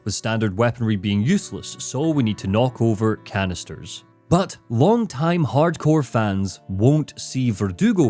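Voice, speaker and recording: A man's voice: medium pitch, a little mellow. A man delivering scripted narration for a critical video essay, with dramatic, emphatic phrasing. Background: background music, guitar.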